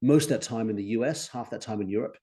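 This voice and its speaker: An Australian man in his forties, a guest on a podcast, talking upbeat and articulate with animated emphasis. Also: mid-range voice, fast. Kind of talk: conversational interview.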